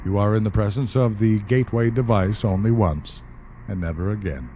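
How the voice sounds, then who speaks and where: An actor in an audio play as a calm, wry government specialist, speaking in a measured, matter-of-fact and dryly polite way. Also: male voice, fairly low pitch, slight background noise, close to an everyday voice. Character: calm, wry male government specialist who questions the dead. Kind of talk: audio drama acting.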